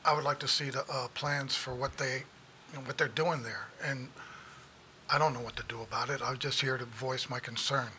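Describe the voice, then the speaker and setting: Male resident at the public mic: halting and unsure, bright tone, faint room noise. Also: steady tone. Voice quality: low voice. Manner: hesitant, halting, many fillers. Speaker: resident speaking at public comment. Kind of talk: public comment at a meeting.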